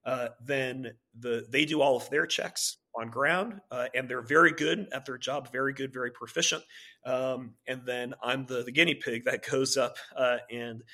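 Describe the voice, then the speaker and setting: A man in his thirties from Maryland and Virginia, a Navy pilot, telling his background as an interview guest in a relaxed way with many fillers and restarts. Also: mid-range voice, moderate pace. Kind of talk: casual interview talk.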